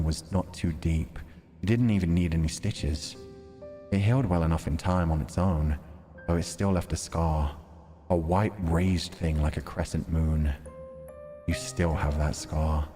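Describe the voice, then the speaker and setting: Man, deep register, visual novel narrator and streamer, reverberant sound, background music. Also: warm tone. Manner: measured, deliberate storytelling delivery when reading. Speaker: livestreamer reading a visual novel aloud. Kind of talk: dramatic read-aloud narration with casual stream chat. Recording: long reverb, music behind.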